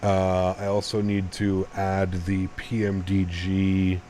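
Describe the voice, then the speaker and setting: A man with a deep voice streaming a flight sim, talking straight to his chat and explaining himself at length with repeated restarts. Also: monotone, slow, mellow, slight background noise. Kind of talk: casual live-stream chat.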